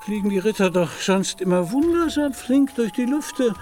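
A man acting a deluded elderly knight in a radio drama, speaking in archaic, high-flown phrases. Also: expressive, normal speed, darkish tone, slight background noise. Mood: musing.

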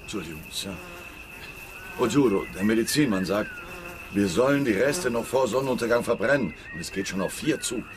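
An actor playing an uneasy, deferential assistant to an investigator in a German radio drama. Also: male voice, fairly low pitch, lively intonation, brisk, noisy background, natural voice. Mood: uneasy, apologetic. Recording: loud music behind the voice. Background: loud music.

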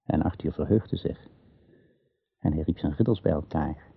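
A man's voice: very deep voice, moderate pace, gravelly, breathy edge, no room sound. A man narrating a scripted radio drama as its storyteller. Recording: narrow, phone-like old radio recording.

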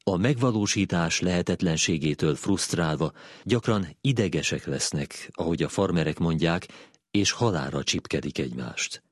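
Man, deep register, scripted narration, essay reading, dry close recording. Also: slightly rough, no room sound. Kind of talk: scripted narration, read aloud.

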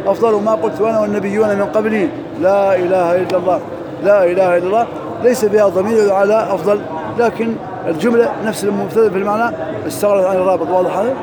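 A man teaching Arabic grammar in a mosque, drilling through examples quickly and reciting verses slowly. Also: high-pitched, steady tone, crowd noise. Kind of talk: lesson parsing grammar and verse.

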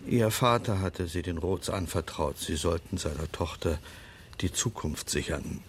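An actor playing a formal, discreet older man in a radio drama, speaking in measured phrases with a gravelly voice. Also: deep voice, a little hiss or hum. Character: formal, discreet older male confidant recounting family secrets.

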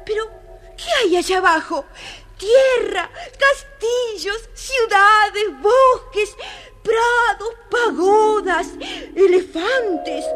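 Very high-voiced sweet young heroine. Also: female voice. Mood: exclaiming wonder. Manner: wonder-struck, theatrical, slow and deliberate. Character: sweet young fairy-tale heroine, gentle little sister. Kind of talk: dramatized children's audio story acting.

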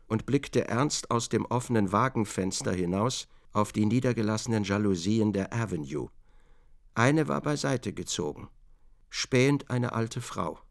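A man reads literary narration for a radio drama. His voice is mid-pitched with a clear gravelly creak, delivering scripted prose as the narrator.

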